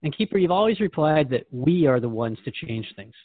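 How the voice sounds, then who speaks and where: A man's voice: fairly high pitch, very animated, a little fast, slightly rough, dry recording, narrow, tinny audio. A man hosting a conversational podcast interview, speaking in an earnest, questioning and reflective way. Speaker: host interviewing a guide.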